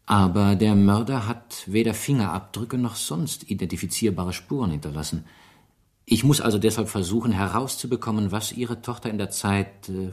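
An actor playing a calm, probing police inspector in a German radio play, questioning politely and deliberately. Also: male voice, on the low side. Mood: measured.

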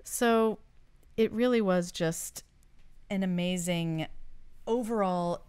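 Middle-aged woman gushing about old memories, smooth voice a bit low, dry close mic. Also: slow, a little mellow, dry recording. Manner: chatty, enthusiastic, nostalgic reminiscing. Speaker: podcast co-host. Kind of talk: casual chat. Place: home studio room with shelves of collectibles. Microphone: studio mic on boom arm.

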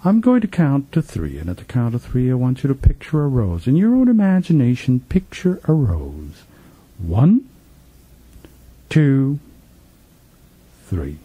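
A male meditation teacher speaks slowly in a deeply mellow, relaxed voice. His pitch moves freely, with phrases trailing off at the end. He gives soothing, repetitive instructions as a guide through relaxation. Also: breathy edge, no room sound, rough recording. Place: professional studio.

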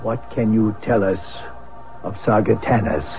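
An actor playing an earnest, confident male paranormal investigator in a vintage radio drama, with a husky voice. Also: on the low side, unhurried, soft background music. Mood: measured, serious. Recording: muffled old radio broadcast.

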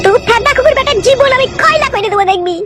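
A woman's voice: very high voice, animated, fast, over loud music. A woman voicing a scorned, vindictive village wife who scolds and threatens in a comic cartoon. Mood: furious, scolding. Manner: scolding, threatening, foul-mouthed.

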